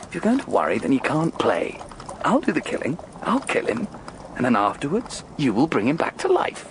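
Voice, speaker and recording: An actor playing a scheming, exultant gentleman plotting murder in a radio drama, with a theatrical, coaxing, intense delivery. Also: fairly high pitch, wildly swooping pitch, moderate pace, noisy background. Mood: urgent, gleeful.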